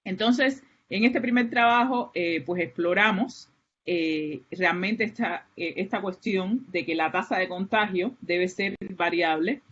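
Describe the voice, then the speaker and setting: A woman's voice: medium pitch, measured pace, fairly bright tone. A woman from Cuba with a Cuban Spanish accent, giving an academic seminar with many fillers and restarts. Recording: muffled, lo-fi online lecture audio.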